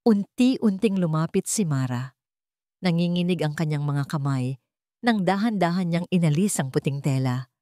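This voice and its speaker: A woman with a fairly low voice narrates a romantic drama. Her delivery is emotive and melodramatic, and the sound is very dry, with little room in it. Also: darkish tone, no echo. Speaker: story narrator.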